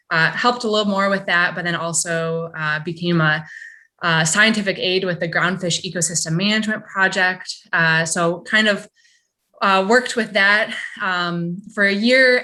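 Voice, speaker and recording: A young woman from Michigan introducing herself at a public meeting over a video call, talking in a halting way with many fillers. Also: fairly low pitch, pushed and bright. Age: young adult. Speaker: new science fellow.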